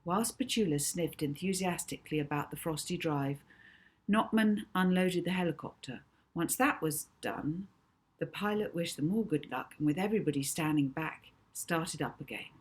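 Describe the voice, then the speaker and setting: A woman reading a children's novel aloud as expressive audiobook narration. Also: fairly low pitch, breathy edge.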